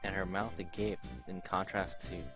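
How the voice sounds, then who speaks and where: This man has a low voice and reads story narration aloud as a game host. He drops in casual asides and sometimes trips on a word, then corrects himself. Music plays clearly underneath. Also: flat delivery, normal speed. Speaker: let's-play host reading a visual novel aloud. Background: game background music.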